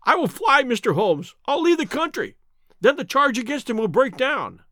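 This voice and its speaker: A man voicing a frightened, cringing thief in an audiobook, pleading and stammering with a cracked, shrill voice. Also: very animated, moderate pace, dry recording, studio quality. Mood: desperate pleading. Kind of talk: dramatic audiobook character reading.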